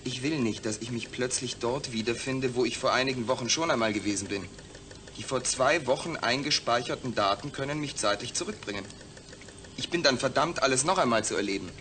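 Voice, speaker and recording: A man acts out a defiant astronaut patient in a science-fiction radio play. He sits at a middle pitch and speaks deliberately and articulately. He is proud, distrustful and used to making demands. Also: sharp tone, close to an everyday voice. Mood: firm, demanding. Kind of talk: German science-fiction radio drama acting.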